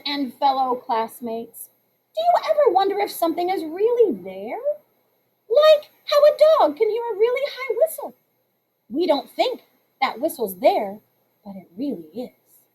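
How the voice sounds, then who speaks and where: Teacher reading as a cheeky schoolgirl, wisecracking, lively, unhurried. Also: high-pitched, poor audio. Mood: earnest. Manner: sarcastic and lively.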